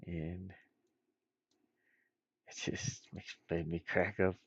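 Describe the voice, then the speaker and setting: A man's voice: low-pitched, flat delivery, takes it slowly, breathy edge, no room sound, dull audio. A man thinking aloud in casual, rambling chat as he works on game art, muttering and making playful asides. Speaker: indie game designer streaming.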